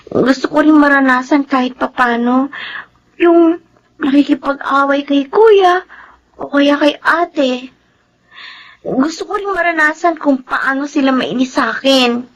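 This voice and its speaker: A woman's voice: high-pitched, muffled recording. An actress playing a sweet, earnest young girl in a radio drama, with a respectful, plaintive way of talking. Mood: plaintive, yearning.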